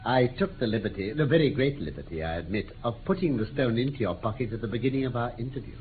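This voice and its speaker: An actor playing an impish, self-assured British detective in a 1950s radio drama, with courteous, theatrical, mock-deferential manners. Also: male voice, mid-range voice, expressive, quick pace, natural voice. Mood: playfully apologetic. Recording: muffled, noisy 1950s radio recording.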